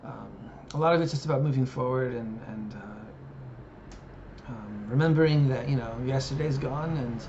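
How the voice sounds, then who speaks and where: A man's voice: medium pitch, a little slow. A man in his thirties thinking aloud in a casual personal vlog, hesitant and musing, with frequent fillers. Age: 30s. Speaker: vlogger. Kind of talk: reflective personal monologue. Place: living in Japan. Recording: dull lo-fi audio with background noise.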